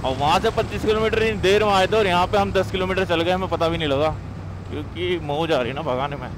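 Young male motovlogger, high voice, excited speed callouts, heavy wind noise from a helmet-cam mic. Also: fast. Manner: excited, calls out speeds while riding. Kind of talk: casual riding commentary. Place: on a motorcycle on an open expressway. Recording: loud wind and engine noise. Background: wind and traffic noise.

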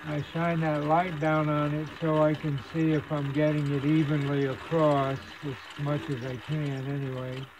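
Higher-pitched man over clear background noise. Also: little pitch movement, normal speed, hardly any pauses. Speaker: hobbyist host demonstrating radio repair. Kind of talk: casual hands-on demonstration narration. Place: indoor electronics workbench.

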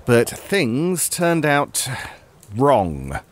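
An actor playing a knowing, slightly fussy time-travelling show host in a scripted comic audio drama. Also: male voice, mid-range voice, wildly swooping pitch, very slow, heavily acted. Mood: knowing, ominous.

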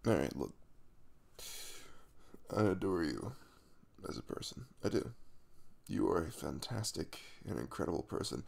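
A man's voice: low-pitched, sing-song, gravelly, heavily acted. A man playing a caring young crush the morning after a kiss in an intimate ASMR roleplay, speaking casually and hesitantly, with a reassuring manner. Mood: tender, earnest. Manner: hesitant, reassuring, with soft chuckles and trailing pauses.

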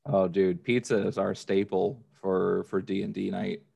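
Low-pitched American man chatting casually. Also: fairly even intonation, measured pace, gravelly, warm tone, slightly rough. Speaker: podcast participant. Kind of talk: casual chat. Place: remote video call from a room indoors.

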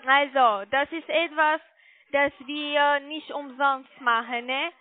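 A very high-pitched woman speaks over a dull phone line. She is a telemarketer, explaining insistently and checking that the listener agrees. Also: steady tone, slow pace. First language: not German. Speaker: telemarketing caller pitching investments. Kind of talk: improvised phone sales pitch. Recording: muffled narrow-band phone call.

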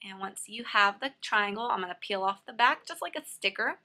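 This is a woman's voice with a bright, crisp-edged tone, sitting at an average pitch for a woman. She talks viewers through steps in a casual, instructional way. It sounds like an informal tutorial voice-over from a hobby host. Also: lively intonation, brisk. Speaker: nail art tutorial host.

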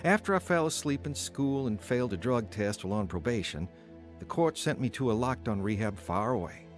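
A man's voice: mid-range voice, expressive, a little fast, faint music behind, lightly acted. An actor playing a remorseful man, earnestly narrating his troubled, drug-addicted youth in a radio drama. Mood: reflective. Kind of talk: scripted first-person radio drama narration.

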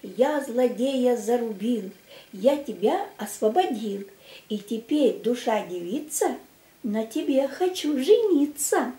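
A woman's voice: fairly high pitch, expressive, takes it slowly, mellow. A woman voicing a brave, boastful young male mosquito in a children's tale, declaiming boldly. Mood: bold, proud. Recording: echoey home room recording.

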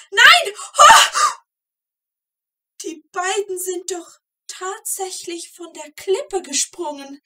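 A woman playing an excitable storyteller in a children's audio play, involved in the tale and speaking straight to listeners. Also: very high voice, exaggerated voice. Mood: alarmed. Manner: addresses the listeners, gets caught up in events. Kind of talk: dramatic scripted children's storytelling.

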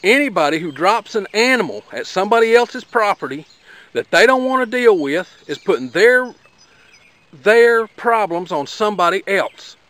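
A middle-aged man with an Appalachian accent and a fairly high voice, speaking outdoors. He talks in a folksy, plainspoken way, rambling a little and dropping in fillers as he demonstrates. Birds and rural sounds carry behind him. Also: sing-song, normal speed, fairly bright tone, no echo. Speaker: homesteader vlogger. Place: outdoors by a garden.

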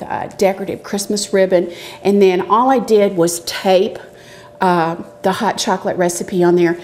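A middle-aged woman talks through a hands-on kitchen demonstration. Her voice sits at an average pitch, and she explains each step in a chatty, homespun way. She is recorded indoors on a lapel mic with some background noise. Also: a little mellow. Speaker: cooking show host, extension educator. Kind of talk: casual craft and cooking demonstration. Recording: clear background noise with clicks.

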